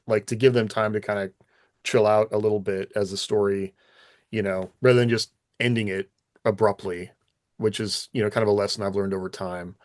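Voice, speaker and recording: A man's voice: fairly low pitch, darkish tone, no room sound, studio quality. A man co-hosting a podcast as a writer, discussing craft casually in a tentative, explanatory way.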